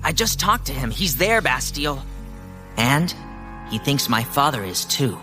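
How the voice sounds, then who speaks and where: Casting: stubborn teen boy hero, bright edgy voice, very expressive, audio drama. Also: mid-range voice, measured pace, soft background music. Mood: insistent. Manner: emotive, pressing with questions. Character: earnest, irritable teenage boy hero, stubborn and curious.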